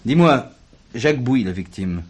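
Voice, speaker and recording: An actor playing a wry, sceptical police investigator in a French radio drama, with a dry, teasing, probing manner. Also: male voice, mid-range voice, expressive, a little slow, husky.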